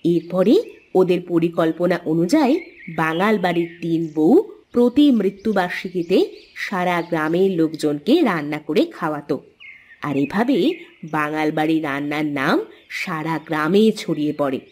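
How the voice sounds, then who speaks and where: A woman's voice: lowish voice, moderate pace, darkish tone, natural voice. A woman voicing a mother who narrates a moral story to her child in a cartoon. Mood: calm storytelling. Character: mother telling a bedtime-style moral story.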